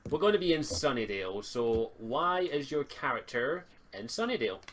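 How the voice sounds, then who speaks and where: A man's voice: medium pitch, sing-song, moderate pace, a bit bright. Man hosting over a hissy mic. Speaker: game master and stream host. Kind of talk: casual chat running a tabletop roleplay session. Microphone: computer mic on internet voice call. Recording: noisy home mic on group voice call.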